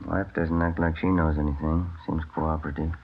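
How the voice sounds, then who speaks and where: An actor playing a calm, polite police detective, the junior partner in a 1950s radio crime drama. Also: male voice, fairly quick, husky, exaggerated voice. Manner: low-key, polite. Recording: dull old radio recording.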